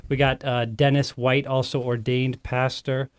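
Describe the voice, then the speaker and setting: A man's voice: mid-range voice, little pitch movement, measured pace, slight background noise. A man in his thirties hosting a casual podcast, talking in a friendly, rambling way and often correcting himself. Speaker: host.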